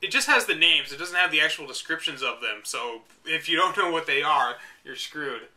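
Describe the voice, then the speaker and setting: A young man reads an outdated game guide aloud, cutting in with dry, mocking remarks. His voice sits high and sounds very bright and sharp. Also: animated, normal speed. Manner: mocking, dry asides between read passages. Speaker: host reading a book aloud. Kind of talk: reading aloud with sarcastic commentary.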